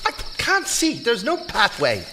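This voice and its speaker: A man's voice: very high voice, wildly swooping pitch, measured pace, noisy background, full character voice. An actor playing a nervous, reluctant young man in a theatrical audio drama, earnest and easily awed. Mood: anxious, protesting. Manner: anxious, protesting, earnest. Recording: night insect ambience behind.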